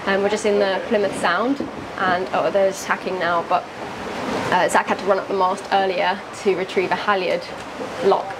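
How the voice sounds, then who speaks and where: A young woman hosting a sailing vlog, chatting excitedly on board a catamaran and narrating, laughing between phrases. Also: mid-range voice, normal speed, background noise. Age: young adult. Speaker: vlog host. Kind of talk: casual vlog narration and chat.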